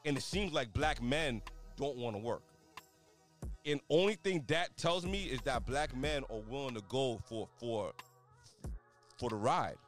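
A man's voice: mid-range voice, expressive, unhurried, fairly bright tone, faint music behind. A man with Haitian parents making his case in a casual podcast debate, earnest and stumbling over words, often set a bit back from the mic. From United States, Haitian parents. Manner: earnest, repeats "you know what I mean", stumbles over words. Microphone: told to talk closer to the mic.

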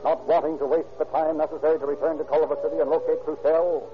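A man giving measured, dramatic scripted narration for a vintage radio crime drama. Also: on the high side, flat delivery. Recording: dull old radio recording with music.

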